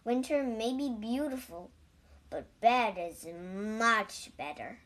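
Storybook toad, female reader: stubborn, anxious grumbler, high voice with big swings. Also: lively intonation, slow pace, fairly bright tone. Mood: grumpy. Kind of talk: children's storybook reading.

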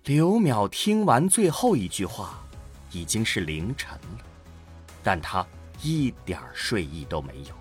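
Slow, expressive male storyteller. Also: on the low side, faint music behind. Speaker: narrator. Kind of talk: scripted dramatic narration.

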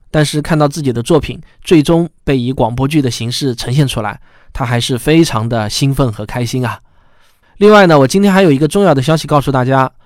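A man's voice: fairly high pitch, dry recording, studio quality. A man hosting a science podcast in a chatty, explanatory way. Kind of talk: podcast commentary, conversational.

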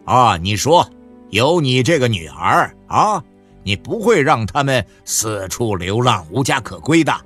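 A storyteller voicing a bossy middle-aged husband who scolds and then reassures, in a radio novel. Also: male voice, mid-range voice, big pitch swings, a little slow, fairly bright tone, husky, soft background music, heavily acted. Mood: reassuring. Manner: scolding, brusque.

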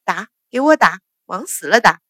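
Woman doing a shrill, jealous noble wife. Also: big pitch swings, normal speed, fairly bright tone, no echo, studio quality. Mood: furious. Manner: screaming, furious.

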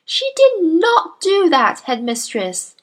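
A woman voicing a gentle young female schoolteacher who pleads earnestly in a high voice. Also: wildly swooping pitch, slow pace, full character voice. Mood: earnest pleading.

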